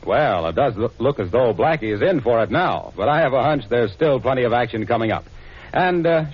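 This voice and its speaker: A man's voice: mid-range voice, breathy edge. A man with an American accent announcing in 1940s radio sponsor style, with folksy, upbeat salesmanship. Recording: muffled 1940s radio broadcast recording.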